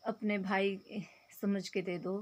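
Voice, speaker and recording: A hoarse woman voicing a middle-aged mother who coaxes and bargains over a price. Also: medium pitch, flat delivery, slow. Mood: haggling. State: hoarse throat.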